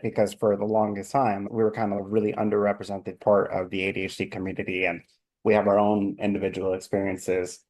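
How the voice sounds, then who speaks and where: Man from new york, low mellow voice, open reflective storytelling. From New York City. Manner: open, reflective, with frequent restarts. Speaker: life coach, guest. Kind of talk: conversational storytelling.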